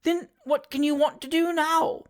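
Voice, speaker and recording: A woman reading the part of a timorous, indignant old lady in a storybook, shrill and trembling, exclamatory and imperious. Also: fairly even intonation, unhurried. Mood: trembling, anxious. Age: older.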